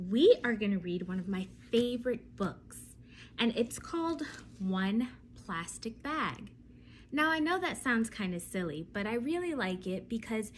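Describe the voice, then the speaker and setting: A woman reading a bedtime story aloud for children, expressive and often turning to ask her young listeners questions. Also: fairly high pitch, slight background noise.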